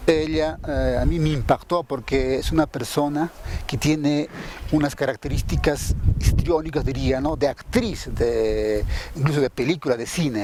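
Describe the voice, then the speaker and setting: A man with a Peruvian accent giving a spontaneous account as a radio drama producer, often ending phrases with a questioning tag. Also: on the high side. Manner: frequent "¿no?" tags seeking agreement. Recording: steady background noise.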